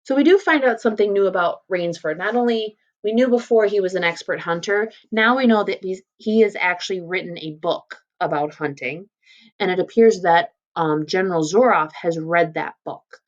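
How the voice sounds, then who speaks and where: A woman's voice: on the low side, normal speed, dull audio. A woman teacher reading a story aloud and explaining it in an informal way. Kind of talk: read-aloud lesson with casual explanatory commentary.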